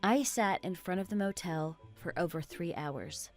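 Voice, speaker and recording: A woman narrating a scripted first-person story as a troubled wife recounting her suspicions in a measured way. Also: fairly low pitch, darkish tone, faint music behind.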